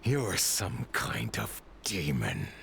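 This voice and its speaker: An actor playing a beaten, bitter and fearful male villain in a video game, gravelly, halting and breathy. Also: deep voice, wildly swooping pitch, background noise, heavily acted.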